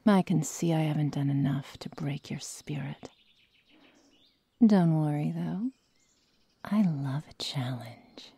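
A woman acts out a cruel werewolf girl in an ASMR roleplay. She talks extremely slowly in a low-pitched, husky whisper that turns gravelly when murmured, taunting and possessive, close to the mic. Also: warm tone, no echo, heavily acted. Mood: sadistic, gloating. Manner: slow, menacing, taunting, drawn-out phrases. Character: sadistic, predatory young female werewolf, cruel and possessive.

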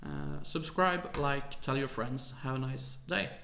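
Hesitant man, gravelly voice. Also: on the high side, unhurried, sharp tone, reverberant. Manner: hesitant, many "uh" fillers, apologetic about his English. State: tired, late at night. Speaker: music production tutor. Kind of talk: screen-recorded software tutorial.

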